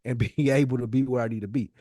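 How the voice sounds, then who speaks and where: A man's voice: medium pitch, fairly even intonation, fast, dark tone, dry recording, studio quality. A middle-aged American man talking casually and motivationally as a podcast co-host, emphatic and repeating phrases to drive points home.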